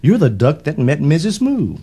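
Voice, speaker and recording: A man's voice: on the high side, huge pitch swings, normal speed, warm tone, slightly rough, voice pushed up, heavily acted. A man voicing a friendly, plain-spoken male orphan goose in a rhyming children's storybook, with folksy phrasing.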